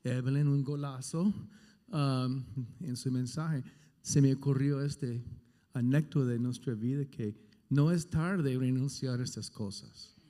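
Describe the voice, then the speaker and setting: A man in his sixties, a native English speaker with a non-native accent, giving halting, unscripted testimony as a pastor. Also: medium pitch, warm tone. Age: 60s. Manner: halting, searching for words. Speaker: pastor on a question panel. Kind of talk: unscripted personal testimony and teaching. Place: large hall at a conference. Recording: reverberant hall sound.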